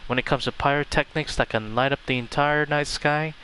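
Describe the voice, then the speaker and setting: A man's voice: on the high side, steady tone, brisk, bright, forceful tone, a little hiss or hum. A man livestreaming a video game playthrough, reacting casually and reading the dialogue aloud. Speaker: game streamer. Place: voice over video game audio.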